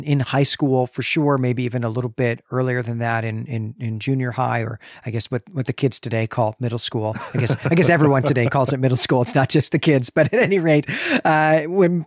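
A man's voice: mid-range voice, dry recording, studio quality. A man co-hosting a book podcast, explaining things in long, thoughtful, conversational sentences. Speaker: podcast co-host discussing a novel.

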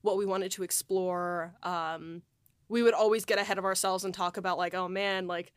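A woman's voice: fairly low pitch, steady tone, normal speed, clear, resonant tone, no echo, clean studio sound. A woman hosting a casual behind-the-scenes podcast chat, rambling along and peppering her sentences with "like". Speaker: host and audio drama writer-producer.

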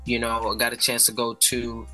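A man from the North Bronx guests on a casual podcast, explaining at ease and peppering his talk with "you know". His voice is very bright and sharp, over faint background music. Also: mid-range voice, monotone, normal speed, rough recording. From North Bronx, New York. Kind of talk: casual interview.

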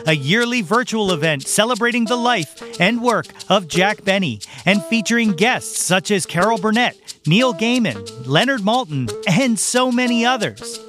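A man's voice: high-pitched, expressive, normal speed, hardly any pauses, fairly bright tone, soft background music. A man hosting a casual podcast interview, talking in an effusive, complimentary way. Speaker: podcast host.

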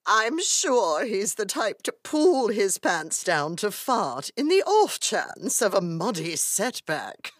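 A woman playing a cheeky, boisterous, gossipy old college friend in a comedic fantasy audio drama, with theatrical drawn-out delivery. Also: mid-range voice, very animated, no room sound, clean studio sound. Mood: cheeky amusement.

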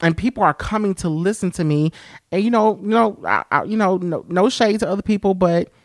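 A man with a high-pitched voice hosting a podcast, talking frankly and expressively with many "you know" fillers. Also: expressive, a little fast, no echo, clean studio sound. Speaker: podcast host. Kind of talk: candid solo podcast monologue.

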